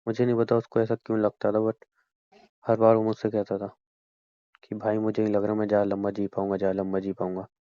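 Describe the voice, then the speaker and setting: A man acts out a wounded young boyfriend in a phone-call drama. He speaks in a flat, barely varying voice, brooding and reproachful. The sound is very dry and close, like a dull lo-fi call recording. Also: fairly low pitch, brisk, no room sound, close to an everyday voice. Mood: wounded, somber. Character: hurt, reproachful young man doubting his girlfriend.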